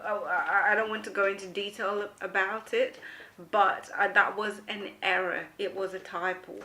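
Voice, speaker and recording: A woman's voice: mid-range voice, fairly even intonation, normal speed, pushed and bright. A woman from Uganda hosting a casual, opinionated discussion, questioning and teasing in a challenging way. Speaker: talk show host.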